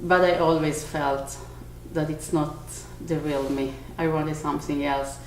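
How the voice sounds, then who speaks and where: A woman in her forties from Israel with an Israeli accent, a bakery owner answering interview questions earnestly, pausing to search for words. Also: deep voice, fairly even intonation. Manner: earnest, with small restarts and searching pauses. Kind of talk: on-camera interview. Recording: echoey room, faint noise.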